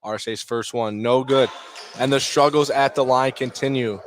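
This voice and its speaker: A man's voice: mid-range voice, steady tone, unhurried. A man calling basketball play-by-play for radio in clipped running phrases. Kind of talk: live sports radio commentary. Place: indoor basketball gym. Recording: noisy gym ambience behind. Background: basketball bounces, gym noise.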